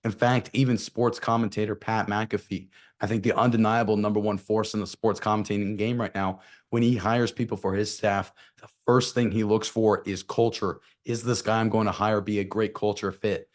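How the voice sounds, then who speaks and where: A man with a somewhat low, hoarse voice co-hosts a football talk show. He talks in a confident, emphatic way, circling back to the same phrases to drive his points home. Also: flat delivery, moderate pace. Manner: emphatic, repeats key phrases. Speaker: sports show co-host. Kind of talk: opinionated commentary.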